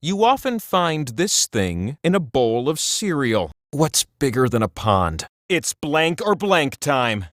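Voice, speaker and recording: An actor playing a quirky, excitable trivia host in a party video game, with a theatrical, playful delivery and exasperated asides. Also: male voice, mid-range voice, takes it slowly, hardly any pauses, dry recording, studio quality. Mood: playful, quizzing. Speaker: game show host character. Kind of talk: quiz hint narration for a party video game.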